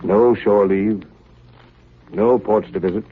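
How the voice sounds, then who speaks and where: An actor playing a solemn, brooding captain of an icebound ship in a 1930s radio drama. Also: male voice, fairly low pitch, steady tone, husky, exaggerated voice. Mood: weary, brooding. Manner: solemn, measured, theatrical. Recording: tinny, muffled 1938 radio recording.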